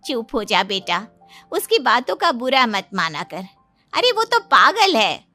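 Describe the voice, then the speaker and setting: A woman playing a middle-aged mother-in-law in a dramatized moral story, emphatic and maternal, protective but quick to scold. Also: a little high, sing-song, bright, forceful tone, dry recording, soft background music, exaggerated voice. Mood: reassuring.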